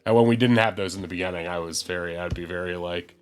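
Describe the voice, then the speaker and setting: A man with a fairly low voice opens a tabletop game stream. He is congested from the flu and talks loosely, with plenty of fillers. Faint music plays behind him. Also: fast talker, clean tone. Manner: loose and chatty, many fillers. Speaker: game master. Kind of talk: casual stream chat and game intro.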